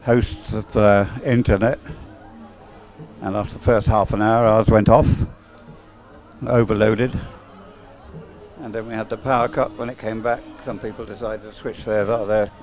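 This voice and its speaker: A man chatting casually as co-commentator on a live sports broadcast, rambling and halting as he goes. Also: on the low side, flat delivery, a little mellow, faint music behind.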